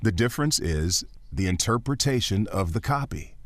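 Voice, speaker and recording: A man with a baritone voice, a voiceover actor and teacher, talking as a podcast guest in an earnest, encouraging, emphatic way. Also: animated, normal speed, dry recording.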